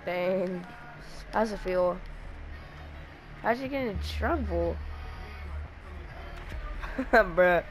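A woman's voice: lowish voice, drawn-out words, long pauses, faint music behind, poor audio. A young woman chatting casually and teasing a friend while playing a game, laughing often. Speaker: gamer streaming with a friend. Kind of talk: casual gameplay chat.

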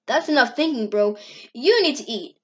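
Woman as a high-voiced, brotherly cartoon hedgehog hero. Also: lively intonation, normal speed, lo-fi sound, lightly acted. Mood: reassuring. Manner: reassuring, casual. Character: loyal young male hedgehog hero, brotherly and casual. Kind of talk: amateur comic dub.

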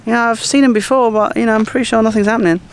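A man's voice: very high voice, steady tone, very fast, voice pushed up. A man voicing a coy mermaid who plays innocent, in a breezy, evasive manner. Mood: innocent denial. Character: coy beautiful mermaid, innocently denying an affair. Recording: clear outdoor background noise.

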